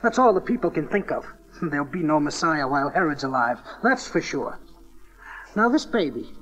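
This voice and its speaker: An actor playing an older temple priest in a dramatized audio Bible, musing and cynical yet formal and ceremonial. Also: male voice, fairly high pitch, big pitch swings, normal speed, a bit bright, lo-fi sound. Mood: cynical, weary.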